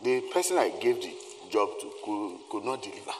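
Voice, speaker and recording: A man's voice: fairly low pitch, big pitch swings, fairly quick. A man playing a cowering, apologetic henchman in a stage play, stammering and pleading. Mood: fearful, pleading. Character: nervous, cowering male henchman, apologetic bungler. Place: theatre stage in a reverberant hall. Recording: echoey hall, faint noise and ambience.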